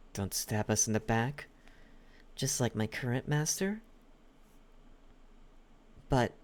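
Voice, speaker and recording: A man performs a possessive demon butler, lovesick and quietly menacing, for an intimate ASMR roleplay. The voice sits fairly low but moves up and down with great expression. Every phrase is drawn out slowly, with halting, coaxing pauses. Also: full character voice. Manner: slow, halting, coaxing delivery with lingering pauses.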